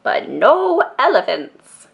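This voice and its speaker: A firm old grandmother from a cozy storybook, acted out by a woman reader. She speaks very slowly and emphatically, drawing out her words, and her pitch swings up and down with exasperation. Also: wildly swooping pitch, gravelly, muffled recording, heavily acted. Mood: polite but firm.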